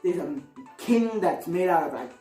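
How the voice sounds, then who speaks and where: A woman's voice: fairly low pitch, expressive, moderate pace, mellow, recorded from a distance. Female video host, rambling and exclamatory, recorded off-mic in an echoey room with music underneath. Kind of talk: casual opinionated video commentary.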